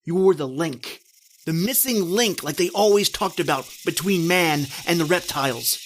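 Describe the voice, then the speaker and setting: A man's voice: a little high, expressive, unhurried. A man playing a guilt-ridden, terrified doomed man, declaiming urgently and melodramatically in old-time radio horror style. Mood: horrified, defiant.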